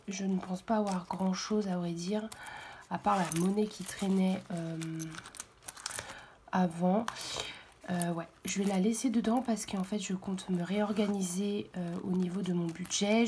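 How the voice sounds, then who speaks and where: Woman, hoarse, mellow warm voice, soft near-whisper, hesitant, at home. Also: mid-range voice, steady tone, measured pace, slight background noise. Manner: soft, half-whispered, hesitant with many fillers. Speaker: budget vlogger. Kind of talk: casual budget walkthrough. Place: at home, early morning.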